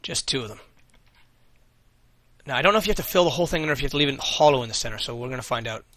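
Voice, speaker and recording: A man with a bright, forceful voice, losing his voice and talking over a video game. He hosts a let's play, muttering his plans aloud and swearing casually when things go wrong. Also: medium pitch, expressive, racing, no room sound. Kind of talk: casual gameplay commentary.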